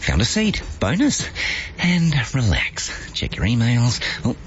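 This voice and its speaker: A man's voice: mid-range voice, wildly swooping pitch, slow pace, dull audio, slight background noise. A man playfully narrating a commuter's morning in a radio ad, teasing in tone. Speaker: ad voice actor. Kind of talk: acted radio ad.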